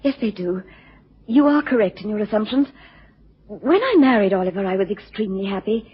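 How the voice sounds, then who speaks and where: Breathy, husky, frightened genteel wife. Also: female voice, mid-range voice, expressive, brisk. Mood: troubled, wistful. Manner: hesitant, pleading, genteel. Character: distressed, frightened, genteel wife seeking help. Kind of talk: radio drama acting. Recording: muffled lo-fi radio recording.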